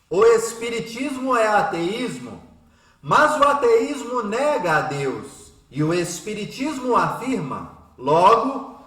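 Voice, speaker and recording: A man playing a composed, devout teacher who speaks with gentle, reasoned oratory in a radio drama. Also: high voice, roomy sound, heavily acted. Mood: calm, prayerful. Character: composed, devout, soft-spoken Spiritist teacher, man about thirty.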